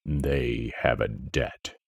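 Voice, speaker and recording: A man doing a low-growling minotaur warrior. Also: fairly even intonation, creaky, slightly rough, no room sound, studio quality, exaggerated voice. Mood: grim. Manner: slow, terse, menacing. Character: huge gruff male minotaur warrior, grim and vengeful.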